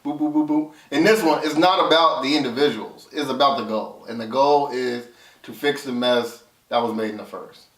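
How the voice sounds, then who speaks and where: A man with a medium-pitched voice talks through a movie review in a conversational way. He lays out his reasoning step by step. The recording has clear room echo and he sounds set back from the microphone. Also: normal speed. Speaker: film reviewer and co-host. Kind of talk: casual explanatory movie review chat. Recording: roomy echo, distant from mic.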